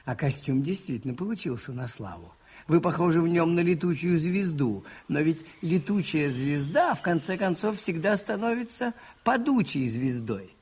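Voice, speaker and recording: Shrewd, husky, animated priest detective. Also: male voice, on the high side, moderate pace. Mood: musing, teasing. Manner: musing, ironic, expressive and conversational. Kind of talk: Soviet radio play acting.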